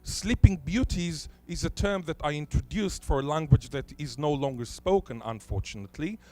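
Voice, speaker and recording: A man whose first language is Hebrew, giving a conference talk in a lecture hall, posing rhetorical questions and stressing key words deliberately. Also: fairly high pitch, lively intonation, a little mellow. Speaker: conference speaker.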